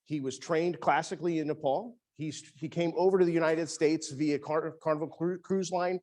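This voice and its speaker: A man's voice: a little high, fairly even intonation, moderate pace, darkish tone. A man, an executive chef, giving a casual cooking demonstration in a teaching kitchen, talking chattily with many restarts.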